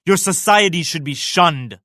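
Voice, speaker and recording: Proud pious emperor, video game character, slightly high male pitch, grave measured declamation, dry close sound. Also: animated, pushed and bright, no echo. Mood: lofty, judging. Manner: grave, measured, lofty pronouncements. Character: proud, pious Mughal emperor, solemn and righteous.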